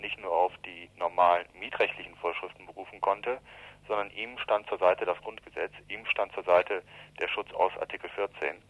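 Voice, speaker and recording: A man's voice: deep voice, dry recording. A man speaking as a spokesman, giving explanatory answers in a telephone interview. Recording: dull, band-limited telephone interview line.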